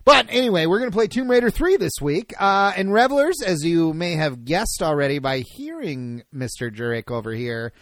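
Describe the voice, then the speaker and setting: A man co-hosting a podcast, excitable and quick to laugh. His voice sits high and swings widely in pitch. A gravelly fry runs through it, recorded close and dry. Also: dry recording. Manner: excitable, laughs often, animated. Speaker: podcast co-host. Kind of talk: casual podcast banter.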